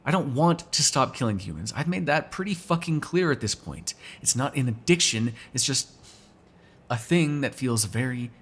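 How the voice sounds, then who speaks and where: Man playing a snarky, animated vampire. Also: mid-range voice, normal speed, slightly rough. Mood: defensive, irritated. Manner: sarcastic, teasing, profane banter. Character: snarky male vampire, restless unrepentant killer, teasing. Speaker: voice actor in an audio roleplay. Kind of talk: ASMR roleplay, casual one-sided dialogue.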